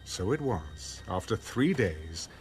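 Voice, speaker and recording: A man's voice: sits low, sing-song, takes it slowly, exaggerated voice. A man narrating a dramatized Bible as a scripted storyteller. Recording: background music under the voice.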